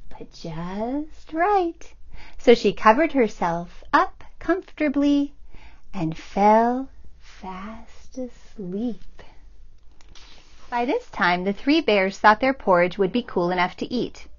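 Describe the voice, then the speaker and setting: A woman, a children's librarian, reading a picture book aloud in a gentle, expressive storytelling manner. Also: medium pitch, slow, muffled recording.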